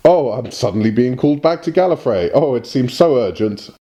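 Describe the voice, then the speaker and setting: A man's voice: mid-range voice, expressive, fairly quick, warm tone, close to an everyday voice. A man playing a pompous, evasive alien messenger in a parody audio drama, with formal, theatrical delivery. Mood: sheepish, feigned urgency. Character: pompous, evasive alien Time Lord messenger in smart suit.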